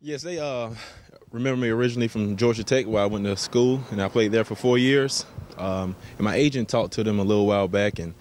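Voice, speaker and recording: A man with an American accent and a fairly low voice gives casual interview answers. His phrasing is hesitant and thoughtful, and there is some ambient noise behind him. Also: brisk, a little mellow. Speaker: professional basketball player. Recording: clear background ambience noise.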